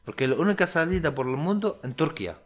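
Young Syrian man in halting Spanish. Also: fairly high pitch, sing-song. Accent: Arabic. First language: Arabic. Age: young adult. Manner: halting, searching for words in broken Spanish. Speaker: guest. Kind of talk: interview, telling his story.

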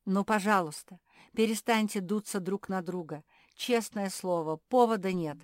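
A woman's voice: fairly low pitch, a little slow, dark tone, no echo, studio quality. A woman voicing an anxious male dog in a children's story, beseeching and insistent as a peacemaker. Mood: pleading.

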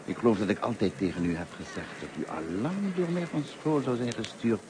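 A man's voice: fairly low pitch, fairly quick, close to an everyday voice. An actor playing a courteous, lonely old male French teacher in a radio drama. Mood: amused recognition. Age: older. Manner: formal, courteous, hesitant. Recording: noisy old radio play recording.

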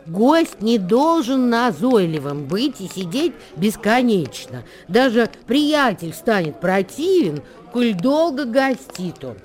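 An actress in a radio play voicing a solemn female reciter of ancient wisdom verse, measured and declamatory in delivery. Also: on the high side, sing-song, darkish tone. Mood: solemn, instructive. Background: music.

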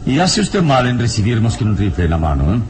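An actor playing a serene, authoritative adventure hero in an old radio serial, calm and solemn. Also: male voice, lowish voice. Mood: composed, firm. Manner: calm, measured, patient, solemn. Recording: old radio drama with music behind. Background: music.

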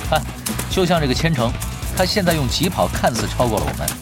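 A man's voice: fairly high pitch, big pitch swings, fast. A male actor playing a confident, casually teasing gamer tactician in a Chinese radio drama, explaining things in an offhand way. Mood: calm, instructing. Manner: offhand, self-assured, explanatory. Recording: background music under the voice.

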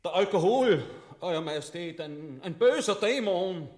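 A man playing a smug, flattering Austrian army captain, speaking in a florid, mock-courtly way in a farcical radio play. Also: high-pitched, unhurried. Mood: sly, courtly.

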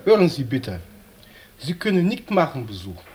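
An actor playing a curt Portuguese prison official in a radio drama, stonewalling in halting, ungrammatical German with a Portuguese accent. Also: male voice, on the high side, sing-song, a little mellow, a little hiss or hum. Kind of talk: naturalistic radio drama acting.

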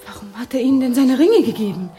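An actress playing an earnest, expressive young woman in a radio drama. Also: on the high side, measured pace, soft background music. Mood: questioning.

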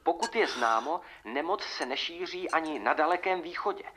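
A performer playing a solemn official radio announcer, reading a news bulletin in a formal, measured way. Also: mid-range voice, animated, bright, forceful tone, slight background noise.

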